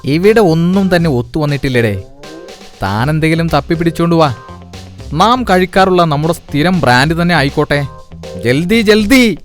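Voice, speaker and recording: A man's voice: a little high, lively intonation, normal speed, pauses often, faint music behind, lightly acted. A man voicing a pompous, scheming cat king in a cartoon, with a commanding, self-important manner. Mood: bossy. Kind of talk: cartoon voice acting.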